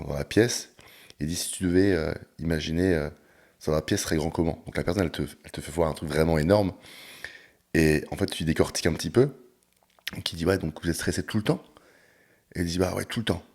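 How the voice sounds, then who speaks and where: A man hosting a casual podcast chat, talking in a relaxed way with frequent hesitations. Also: sits low, a little fast. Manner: relaxed, talks with many hesitations. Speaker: podcast host and coach.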